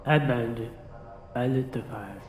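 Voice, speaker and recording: A man's voice: medium pitch, unhurried, a little mellow, reverberant, a little hiss or hum, close to an everyday voice, rough recording. A man playing a homeless male arson suspect who talks in short, clipped, ungrammatical bits. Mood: sullen. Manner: terse, clipped, ungrammatical speech. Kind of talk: amateur radio drama acting.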